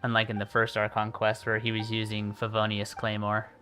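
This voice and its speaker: A man's voice: on the low side, completely flat delivery, brisk, bright, forceful tone. A man giving informal live commentary as a video game streamer, reacting aloud and repeating himself. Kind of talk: casual live gameplay commentary. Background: video game music.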